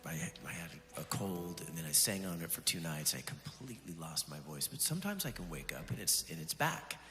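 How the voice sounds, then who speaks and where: A worship leader still recovering from a cold, chatting casually and warmly with his congregation, with fillers and bits of laughter. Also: low voice, expressive, a little fast, long reverb tail, slight background noise. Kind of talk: casual talk to a church congregation. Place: church sanctuary.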